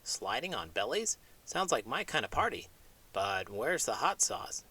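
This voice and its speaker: A cocky little wisecracker of a pig, acted out by a man in a children's story skit. The delivery is boastful and cheeky, with very lively pitch swings. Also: unhurried, husky, heavily acted. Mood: cheeky, skeptical. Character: cheeky hot-sauce-obsessed pet pig, brashly confident. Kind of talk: children's read-aloud comic skit.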